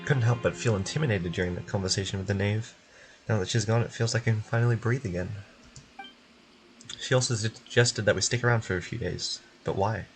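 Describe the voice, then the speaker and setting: A man's voice: fairly low pitch, fairly quick, soft background music, low-quality recording. A man streaming a video game and reading its dialogue aloud.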